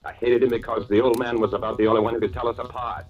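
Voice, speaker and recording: A man's voice: medium pitch, flat delivery, rapid-fire, muffled recording, a crowd in the background, lightly acted. An actor playing a bitter, gloating murderer confiding his crime in hardboiled slang, in 1940s radio drama style. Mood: bitter resentment. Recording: old radio recording with music behind.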